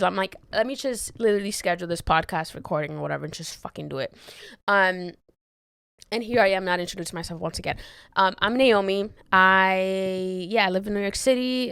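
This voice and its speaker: A woman's voice: mid-range voice, a bit bright, clear, resonant tone, dry recording. A young woman from the Dominican Republic hosting her own podcast from her bedroom, chatting candidly with frequent swearing and restarts. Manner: candid, swears freely, trails off and restarts, laughs often. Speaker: podcast host. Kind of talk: rambling solo podcast chat. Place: bedroom, sitting on bed. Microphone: handheld mic.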